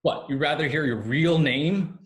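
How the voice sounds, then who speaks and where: An actor playing an intense man who urges a lost fairy to remember himself, in deliberate, poetic lines of a staged play reading. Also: fairly high pitch, natural voice. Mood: challenging. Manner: deliberate, taunting then earnest, poetic. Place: remote staged reading over video call. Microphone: video call microphone.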